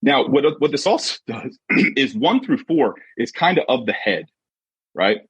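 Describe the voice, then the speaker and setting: Very husky man, animated coaching talk. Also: medium pitch, a little slow, no echo. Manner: emphatic, peppers points with rhetorical check-in questions. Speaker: podcast guest, sports mindset coach and author. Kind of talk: conversational motivational coaching talk. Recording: dull, muffled lo-fi audio.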